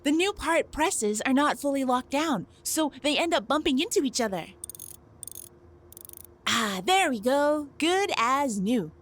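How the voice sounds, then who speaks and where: High-pitched snippy robot girl, voiced by a woman. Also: lively intonation, measured pace, a bit bright. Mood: focused, suspicious. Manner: snippy, exasperated, sarcastic. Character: irritable, proud robot girl engineer. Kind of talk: scripted sci-fi cartoon audio drama.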